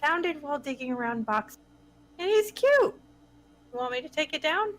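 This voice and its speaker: A supportive young woman in her twenties, played by a woman in a table-read drama. Her voice is fairly high, and she talks in a playful, caring, conversational way. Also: animated, normal speed. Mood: casual, teasing.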